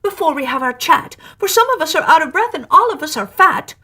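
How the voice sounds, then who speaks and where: A woman's voice: very high-pitched, sing-song, fairly quick, sharp tone, voice pushed up, exaggerated voice. A woman voicing plump, anxious, polite little young oysters in a storybook poem, with a breathless, pleading manner. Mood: flustered.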